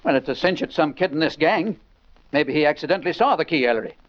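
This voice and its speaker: Man acting older cop father, fairly high lively voice, colloquial, muffled radio drama. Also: quick pace, close to an everyday voice. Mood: puzzled, insistent. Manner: plain-spoken, colloquial. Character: gruff older police father, plain-spoken. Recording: muffled 1940s radio recording.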